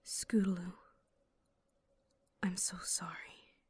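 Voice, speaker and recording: A woman playing an ancient, sorrowful winged unicorn mare in an audio drama, speaking gently and solemnly in a whispery voice. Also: lowish voice, level tone, slow, dry recording, clean studio sound, heavily acted. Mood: sorrowful, gentle. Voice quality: breathy, whispery. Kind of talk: dramatic audio story acting.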